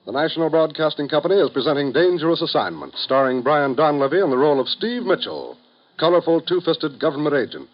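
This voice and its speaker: A man announcing a 1950s radio broadcast in a measured, earnest, formal manner. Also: a little high, expressive, lo-fi sound.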